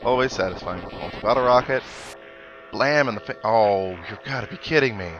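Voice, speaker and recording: A man's voice: medium pitch, brisk, a bit bright, lo-fi sound. A man giving casual video game commentary, thinking aloud with analytical asides as he plays. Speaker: let's player. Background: video game music and sound effects.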